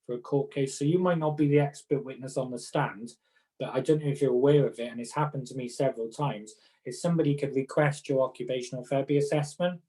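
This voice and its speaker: A man's voice: medium pitch, fast, dark tone, rough recording. A middle-aged man with a Welsh accent giving informal, explanatory advice to fellow professionals as an occupational therapist, chatting through practical examples. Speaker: occupational therapist and conference speaker.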